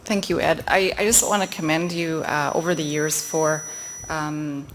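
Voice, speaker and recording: A woman clinician giving a halting, heartfelt introduction of a speaker in a lecture hall. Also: deep voice, flat delivery. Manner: halting, many fillers, heartfelt.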